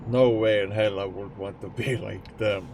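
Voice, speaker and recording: A man's voice: lowish voice, steady tone, normal speed, creaky, darkish tone, slight background noise. A young man from Estonia with an Estonian accent, a streamer chatting casually and jokingly with his live chat. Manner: rambling and jokey, answering chat.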